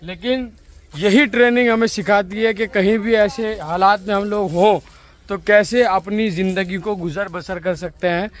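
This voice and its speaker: A man's voice: high-pitched, steady tone, normal speed. A young man, chatting upbeat to viewers while walking around outdoors with a phone camera. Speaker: vlogger interviewing scout trainees. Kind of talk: walking vlog narration and interviews. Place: outdoors in rural fields and woods. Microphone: phone camera held at arm's length.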